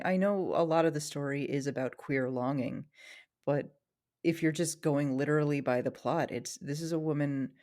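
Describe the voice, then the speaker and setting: A woman's voice: sits low, dark tone. A woman co-hosting a podcast in casual conversation, with a wry, joking way of talking. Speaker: podcast co-host. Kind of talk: casual discussion.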